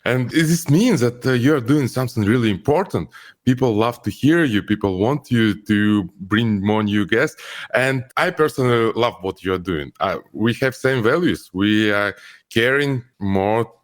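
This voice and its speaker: A man's voice: medium pitch, expressive, moderate pace. Ukrainian-accented man, earnest and careful. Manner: earnest, careful non-native phrasing. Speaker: guest, company founder. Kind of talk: interview conversation.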